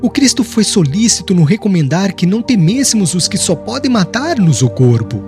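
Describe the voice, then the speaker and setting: Serene, unbending young male martyr for an audiobook, played by a male narrator: firm, declamatory, higher-pitched, over clear music. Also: sing-song, normal speed, a little mellow. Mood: calm, defiant. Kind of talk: dramatic audiobook character reading.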